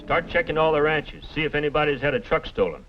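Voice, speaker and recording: An actor playing a plain-spoken, dutiful country sheriff in an old-time western radio drama, on a muffled recording with music. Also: male voice, a little high, lively intonation, fast, natural voice. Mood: businesslike.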